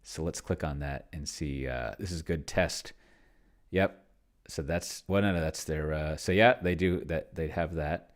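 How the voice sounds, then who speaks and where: A man's voice: a bass voice, a little slow. A man giving casual screen-share commentary as he plays, musing aloud in a rambling way and trailing off into mutters. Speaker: tabletop game player and video creator.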